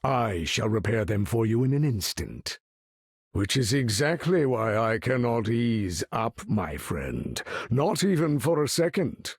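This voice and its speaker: A commanding supervillain for a video game. The voice is husky and deliberate, swinging widely in pitch with proud, theatrical menace. It is a dry, close studio sound. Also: male voice, very animated, no echo. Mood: solemn, measured. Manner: grandiose, measured, theatrical delivery. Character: proud, menacing mutant leader, commanding supervillain with grand bearing. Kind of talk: video game character voice lines.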